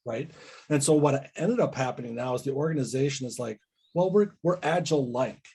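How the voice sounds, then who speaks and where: A man's voice: mid-range voice, a little fast, gravelly, dark tone, a little hiss or hum. A man speaking as a guest coach in a casual podcast discussion, reflective and tentative, often checking in with tag questions.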